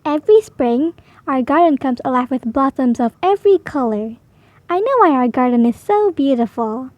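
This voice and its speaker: A woman with a high voice reads a children's storybook aloud. Her delivery is expressive and animated, lifting for the story's dialogue. The sound is dry and close, with a slightly dull edge. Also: moderate pace, no echo. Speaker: storybook reader.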